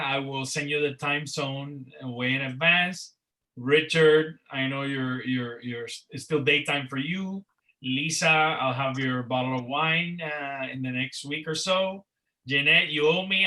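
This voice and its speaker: A man's voice: mid-range voice, sharp tone, low-quality recording. A man who organizes a career network gives rambling closing remarks on a video call, repeating words and using many "uh" and "you know" fillers. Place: Miami.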